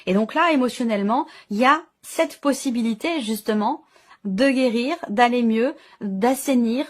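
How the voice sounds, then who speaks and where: Higher-voiced woman giving a tarot reading. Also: normal speed. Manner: hesitant, searching phrasing with repeated enumerations. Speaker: tarot card reader and channel host. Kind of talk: intuitive tarot reading, improvised spoken commentary.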